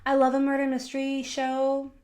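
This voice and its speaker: A woman's voice: sits high, completely flat delivery, moderate pace, darkish tone. A woman hosting a podcast, recapping pop culture in a casual, gossipy way. She fills her talk with "like" and sounds congested from a cold. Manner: chatty, heavy use of "like", gossipy retelling. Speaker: podcast host.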